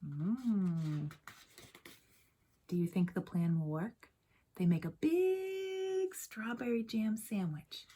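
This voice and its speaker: A woman's voice: fairly low pitch, a little hiss or hum. A woman reading a picture book aloud like a teacher, expressively, with chatty asides and questions to young listeners.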